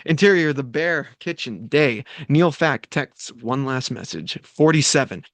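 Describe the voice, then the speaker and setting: A man's voice: mid-range voice, expressive, measured pace, fairly bright tone, no echo. A man reading stage directions as scripted narration at a table read.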